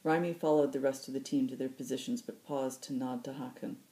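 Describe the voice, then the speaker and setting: Female storyteller, markedly low register, mellow tone, reading novel excerpts as host. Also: fairly even intonation, a little fast, low-quality recording. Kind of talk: audiobook-style fiction narration.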